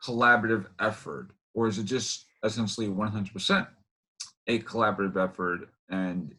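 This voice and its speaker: A man hosting a casual interview over a video call, asking questions in halting, circling, repetitive phrasing. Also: fairly low pitch, bright, forceful tone, husky. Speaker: interview host.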